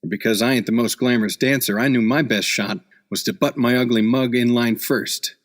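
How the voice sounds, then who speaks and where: A man's voice: mid-range voice, fairly even intonation, a little fast, lightly acted. A man acting a hard-boiled, wisecracking seasoned newspaper reporter in a noir radio play, with clipped, cynical tough-guy slang. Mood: driven, cynical.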